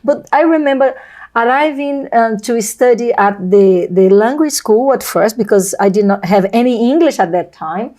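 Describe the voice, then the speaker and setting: Mellow, effusive Brazilian woman host. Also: on the high side, expressive, moderate pace. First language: Portuguese. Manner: effusive, wordy, repeats phrases. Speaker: host of a video channel. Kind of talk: casual conversational interview.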